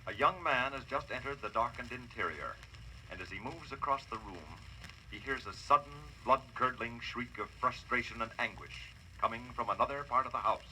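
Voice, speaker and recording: A man's voice: medium pitch, moderate pace, bright, forceful tone, slight background noise, poor audio. A man announcing and narrating an old-time radio crime drama in a solemn, theatrical scripted style. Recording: old radio broadcast with music.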